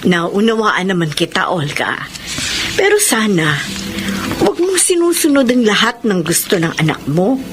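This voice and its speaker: A Filipino actress playing a frank, sensible middle-aged neighbour who advises matter-of-factly in a radio drama. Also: female voice, medium pitch, big pitch swings, normal speed, music in the background, close to an everyday voice. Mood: gently admonishing.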